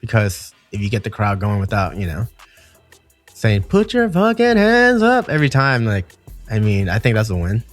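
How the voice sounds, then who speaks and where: A man's voice: lowish voice, wildly swooping pitch, moderate pace, faint music behind. A man with a Thai accent, a DJ co-hosting a podcast, talking loosely and casually and swearing freely. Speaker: DJ and podcast co-host.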